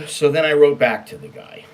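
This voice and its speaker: A man's voice: fairly high pitch, moderate pace, rough recording. An American man hosting a casual storytime show, blunt, sweary and exasperated as he reads out and comments on emails. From United States. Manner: emphatic, exasperated. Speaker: online storytime show host.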